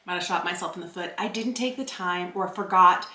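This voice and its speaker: A woman's voice: fairly low pitch, a little fast, pushed and bright, echoey room, a little hiss or hum. A woman in her forties chatting casually through a makeup tutorial, narrating each step with self-deprecating asides. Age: 40s. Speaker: beauty vlogger.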